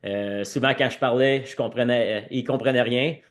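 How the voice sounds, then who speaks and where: A man's voice: medium pitch, fairly even intonation, moderate pace. A man with a French Canadian accent telling his own story conversationally as a podcast guest, sprinkling English words into his speech. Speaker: podcast guest, former hockey player.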